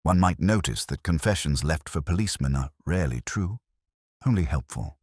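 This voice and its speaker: A man voicing a shrewd, measured police inspector with a deliberate, dryly ironic manner in a detective story. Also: extremely low pitch, animated, darkish tone, breathy edge, dry recording, heavily acted. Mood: musing, deductive. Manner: deliberate, dry, aphoristic.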